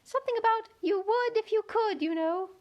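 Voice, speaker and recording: Woman as a teasing little insect, tiny voice, very high pitch, children's storybook character. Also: normal speed, a little mellow, muffled recording. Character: extremely small teasing insect voice close by.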